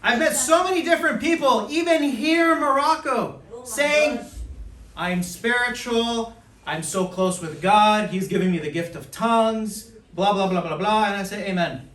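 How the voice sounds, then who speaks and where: A man preaching in a church, humorous and full of anecdotes, speaking in short lines for an interpreter. His voice is very high, bright and lively. He sounds off-mic, in a reverberant hall. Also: a little slow, recorded from a distance. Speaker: guest preacher. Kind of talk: sermon, interpreted.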